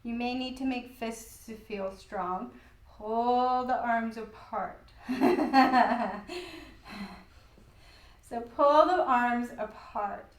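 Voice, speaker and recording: A woman with a croaky voice guiding a gentle yoga class in an old studio, cueing calmly with light laughs. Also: fairly high pitch, very slow, pauses often, roomy sound. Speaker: yoga instructor.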